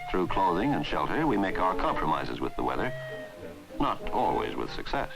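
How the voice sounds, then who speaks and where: A man reading scripted narration for a 1960s educational film in a measured, formal way. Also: low voice, sing-song, creaky, poor audio. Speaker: documentary narrator. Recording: 1960s film soundtrack, loud music. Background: loud background music.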